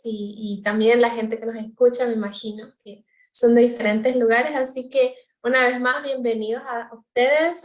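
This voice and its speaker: A woman from Paraguay hosting a youth Bible study, slow and thoughtful. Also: on the high side, echoey room. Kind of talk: relaxed Bible study discussion.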